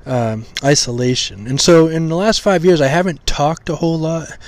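A man hosting a podcast, teaching scripture in an informal way with a slightly hoarse, weak voice, full of pauses, ums and restarts while he tries to enunciate carefully. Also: a little high, no echo. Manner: halting, many ums and restarts, deliberate enunciation.

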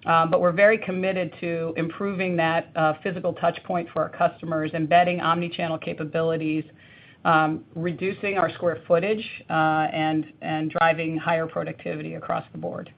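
A woman executive answering analyst questions on an earnings call, measured, with frequent ums and restarts. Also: low voice, flat delivery, slight background noise. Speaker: company executive. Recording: tinny phone line.

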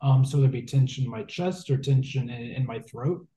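A man's voice: medium pitch, normal speed, warm tone, a little hiss or hum. A man in his twenties, a guest who stutters, talking thoughtfully with repeated words and fillers. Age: 20s. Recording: roomy echo.

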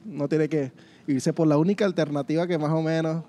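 A Venezuelan man with a notably high voice, hosting a relaxed question game. He talks in an animated, joking way, often stumbling over and repeating his words. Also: a little fast. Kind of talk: casual question game chat.

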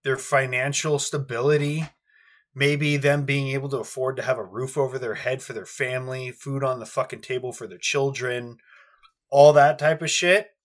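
A man's voice: medium pitch, fairly even intonation, moderate pace. A man in casual podcast chat, ranting heatedly with frequent swearing.

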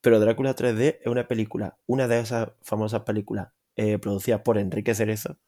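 A man's voice: lowish voice, flat delivery, fast talker, warm tone. A man from Spain with a Spanish accent, co-hosting a film podcast with sarcastic, profane rants. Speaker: podcast co-host. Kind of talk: casual crude film-podcast banter.

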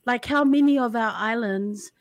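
Upbeat lady podcast host, higher voice, dry close sound, very chatty. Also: moderate pace, dry recording. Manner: enthusiastic, chatty, many fillers. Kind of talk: casual interview chat.

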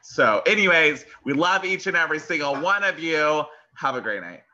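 A man's voice: on the high side, moderate pace, lo-fi sound. A young man with a lisp co-hosting a podcast, chatting casually, swearing and laughing a lot. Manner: profane, laughing, animated. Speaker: podcast co-host. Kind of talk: casual chat.

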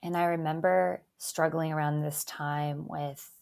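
A young woman from Albania raised in Canada, a native Albanian speaker, telling her life story as an interview guest in a calm, reflective, soft-spoken way. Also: low-pitched, measured pace, creaky. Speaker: guest, eating disorder advocate.

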